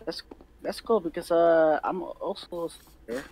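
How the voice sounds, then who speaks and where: A man improvising a newcomer slayer in a game roleplay, speaking tentatively and haltingly. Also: on the high side, darkish tone, poor audio. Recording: music clearly behind the voice. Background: game music.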